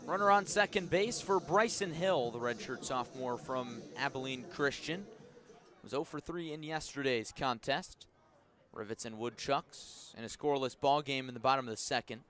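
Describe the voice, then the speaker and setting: A man doing live radio baseball play-by-play as the announcer, measured between pitches and lifting into excited calls on the action. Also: a crowd in the background. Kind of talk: live radio sports commentary.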